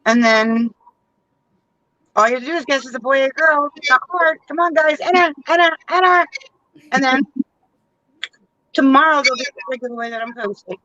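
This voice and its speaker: Co-host: woman, high bright voice, teasing and playful. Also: normal speed, long pauses, dry recording, highs cut off. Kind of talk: casual group chat.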